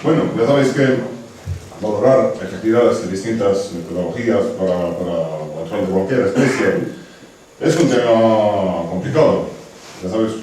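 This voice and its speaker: Ponente estonio con acento marcado, titubeante y cercano; sala resonante, micrófono lejano con leve ruido. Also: male voice, fairly low pitch, moderate pace, warm tone. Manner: hesitant, restarts words, friendly asides. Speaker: conservation researcher giving a talk. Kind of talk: conference presentation. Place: lecture hall. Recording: very echoey hall from far off, faint noise.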